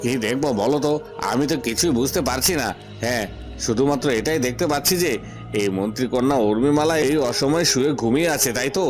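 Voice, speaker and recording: Fast-talking scolding prison guard played by a man. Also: medium pitch, lightly acted. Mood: wary, puzzled. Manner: scolding, questioning. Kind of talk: cartoon dialogue. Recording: music behind the voice.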